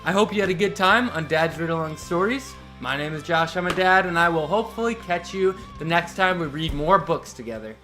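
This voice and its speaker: A man's voice: a little high, fairly quick, few pauses, over music. A man hosting read-aloud storytelling for children, reading expressively in simple phrases and chatting with listeners. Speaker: host reading children's picture books aloud.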